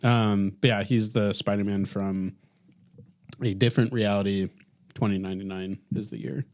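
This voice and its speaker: A man's voice: fairly low pitch, steady tone, moderate pace, a little mellow, no room sound, studio quality. A man co-hosting a podcast, chatting casually about films with the group. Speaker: podcast co-host. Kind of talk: casual film chat.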